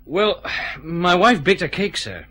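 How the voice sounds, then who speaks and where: An actor playing a keen, respectful detective chief inspector in a radio detective drama. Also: male voice, sits high, very animated, unhurried, pushed and bright, soft background music, exaggerated voice. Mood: businesslike.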